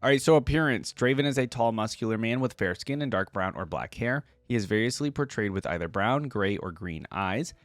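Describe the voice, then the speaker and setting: A man with a fairly low voice hosts a reaction video in a casual style. He moves between offhand commentary and reading aloud, recorded close and dry with no room sound. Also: lively intonation, brisk, few pauses, no echo.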